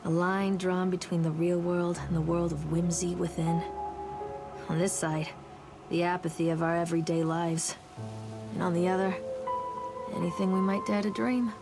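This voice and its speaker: A woman's voice: lowish voice, creaky. A woman acting an anxious mother searching for her missing young son in a video game. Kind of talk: video game acting, calling out and inner monologue.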